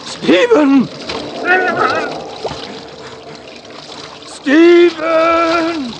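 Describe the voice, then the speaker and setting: A man's voice: very high-pitched, fairly even intonation, very slow, full character voice. An actor playing a distraught, panicking man in an overwrought, anguished 1940s radio drama style. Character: distraught, panicking man caught in a deadly river tragedy. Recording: noisy old radio drama recording.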